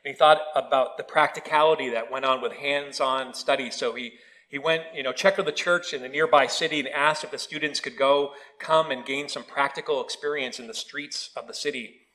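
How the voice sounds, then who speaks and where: A man's voice: mid-range voice, a little fast, low-quality recording. A man preaching a sermon in a church, telling stories with touches of humor. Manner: storytelling with humor, animated quoted voices.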